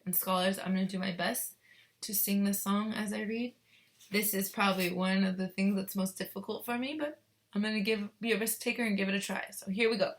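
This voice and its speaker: Woman teacher reading aloud to young pupils. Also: medium pitch. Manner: expressive, pausing to explain and ask questions. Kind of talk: children's read-aloud with commentary and questions to pupils.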